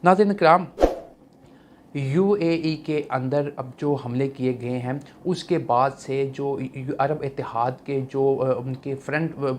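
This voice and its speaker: A man's voice: medium pitch, steady tone, slow pace. A man with a Pakistani accent hosting news commentary, explaining things to viewers in a repetitive way. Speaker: news commentary channel host. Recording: clear background noise, ambient sound.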